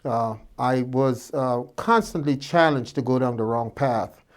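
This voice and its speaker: A man's voice: medium pitch, unhurried. A man in his fifties from Guyana, a doctor telling his life story to students in a reflective way, with many fillers and restarts. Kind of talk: relaxed personal storytelling.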